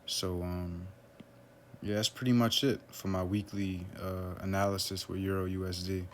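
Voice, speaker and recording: Low, flat-voiced man walking through a chart. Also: moderate pace, slight background noise. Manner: relaxed, loose, lots of fillers like "um" and "right?". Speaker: forex trader analyzing a chart. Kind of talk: casual screen-share trading walkthrough.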